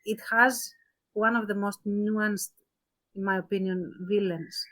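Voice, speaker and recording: A woman's voice: medium pitch, steady tone, unhurried, fairly bright tone, low-quality recording. A woman co-hosting a podcast, giving her opinions in a casual discussion and thinking aloud as she qualifies her points. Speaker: podcast co-host.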